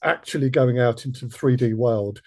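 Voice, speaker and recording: Slightly husky man hosting a podcast. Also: medium pitch, normal speed. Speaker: podcast host and interviewer. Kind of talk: conversational podcast interview.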